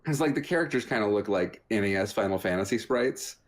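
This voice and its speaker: Low voiced dude, rambly and jokey, just chatting like a podcast cohost. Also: male voice, expressive, fast talker. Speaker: podcast co-host reviewing video games. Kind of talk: casual chat.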